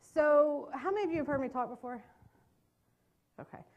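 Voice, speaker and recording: Fast-talking Southern woman presenter. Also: high-pitched, expressive, darkish tone, highs cut off. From North Carolina. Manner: fast-talking, chatty, self-deprecating jokes. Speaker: trauma care trainer. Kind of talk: presentation to a live audience. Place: meeting room with an audience.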